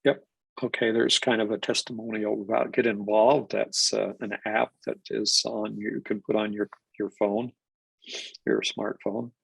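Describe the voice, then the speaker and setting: A man's voice: lowish voice, level tone, a little slow, vocal fry, husky, dry recording, poor audio. An older man presenting a genealogy webinar, stuffed up from a cold, rambling with restarts and fillers. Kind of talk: live webinar lecture.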